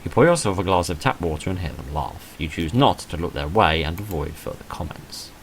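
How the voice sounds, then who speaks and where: A man's voice: sits low, animated, fairly quick, slight background noise. A man reading a visual novel game aloud as he plays, with dry, self-deprecating asides. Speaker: video creator playing and reading a visual novel. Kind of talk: let's play, reading game text aloud with off-script asides.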